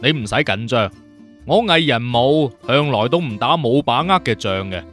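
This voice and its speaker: A man playing a cocky, unhurried, teasing detective consultant in an audio drama. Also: mid-range voice, expressive, fairly bright tone, faint music behind, natural voice. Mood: calm, confident. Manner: unhurried, teasing, self-assured. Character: cocky, nonchalant male detective consultant with a moustache. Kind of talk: Cantonese audio drama acting.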